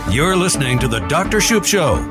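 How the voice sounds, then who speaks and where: A man's voice: on the high side, big pitch swings, brisk. Radio announcer man over music. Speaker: show announcer. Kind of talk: scripted radio promo read. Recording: promo with music bed.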